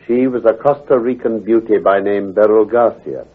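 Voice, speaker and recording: An actor playing an assured, precise gentleman detective who explains with authority in a radio drama. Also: on the low side, normal speed, breathy edge. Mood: assured, explanatory. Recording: tinny, hazy old radio recording.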